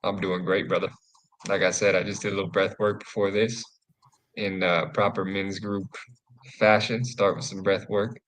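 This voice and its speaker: A man's voice: low-pitched, flat delivery, normal speed, a bit bright, a little hiss or hum, low-quality recording. A man co-hosting a live men's group talk, laid-back and casual, swearing easily. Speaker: co-host.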